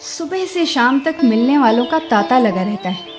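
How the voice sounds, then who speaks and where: A woman's voice: sits high, lively intonation, normal speed, over music. A woman playing a respectful, hospitable wife in a radio drama, polite and attentive.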